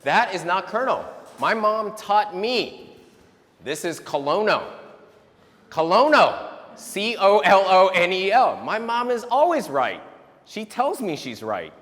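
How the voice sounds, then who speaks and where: Animated man speaking in a reverberant hall. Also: high-pitched, measured pace. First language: Vietnamese. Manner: animated, tags statements with "right?". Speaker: speaker giving a talk on resilience. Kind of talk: motivational talk to parents.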